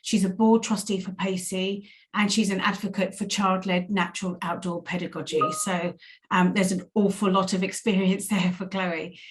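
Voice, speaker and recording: A woman co-hosting a webinar, introducing a guest in an upbeat way with many fillers. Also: medium pitch.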